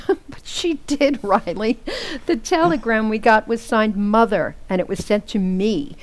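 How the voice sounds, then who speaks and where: A woman's voice: on the high side, expressive, measured pace, poor audio. A woman acting a patient, affectionate housewife in an old-time radio comedy, sweetly placating. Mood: patiently explaining. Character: patient, affectionate housewife, gently correcting her husband.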